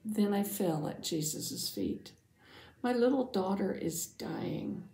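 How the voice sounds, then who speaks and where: A woman voicing a devout, anxious father who earnestly tells his Bible story, dramatically, with hushed phrase endings. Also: mid-range voice, big pitch swings, takes it slowly, gravelly, heavily acted. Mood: anxious, urgent. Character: devout anxious father, synagogue leader, telling his testimony. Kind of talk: first-person Bible storytelling for families. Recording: roomy, echoing sound.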